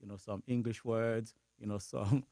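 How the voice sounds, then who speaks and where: A man from Haiti with a Haitian accent, a priest whose native language is Creole, answering interview questions haltingly with small laughs. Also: fairly low pitch, fairly even intonation, creaky, mellow, no echo, clean studio sound. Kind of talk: interview conversation.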